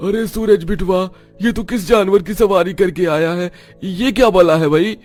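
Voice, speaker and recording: Excitable high-pitched village man, put on by a male narrator. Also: moderate pace, faint music behind, natural voice. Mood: astonished. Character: frightened, simple village men, easily startled and excitable.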